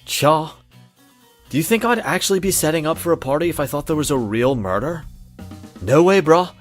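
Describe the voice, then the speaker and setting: A man's voice: a little high, lively intonation, normal speed, faint music behind, lightly acted. A man voicing an irritated, dim young party bro in a visual novel, slangy, whiny and dismissive. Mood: annoyed.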